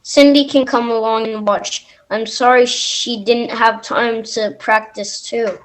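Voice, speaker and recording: A woman reading a kindly, slow-talking father. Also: a little high, fairly even intonation, close to an everyday voice, low-quality recording. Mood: encouraging. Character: kindly, hard-working father and shopkeeper. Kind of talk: readers theater.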